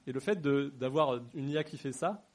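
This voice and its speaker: Casual mid-pitched man on a panel. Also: moderate pace, darkish tone. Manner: casual, conversational. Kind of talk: public discussion.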